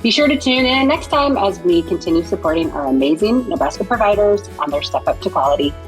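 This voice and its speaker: A woman's voice: mid-range voice, expressive, quick pace, faint music behind, low-quality recording. A woman hosting a podcast interview, upbeat and enthusiastic, laughing often as she chats. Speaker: podcast host.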